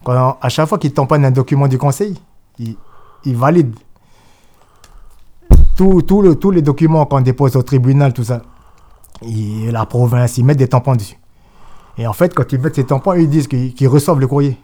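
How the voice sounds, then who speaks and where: A man talks as an interview guest, hammering his points home with repetition. His voice is mellow and warm, sitting at an ordinary middle pitch. He sounds firm and insistent. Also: moderate pace, long pauses. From New Caledonia. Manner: emphatic, repeats himself, mimics opponents. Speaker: guest, jurist. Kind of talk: assertive political interview talk.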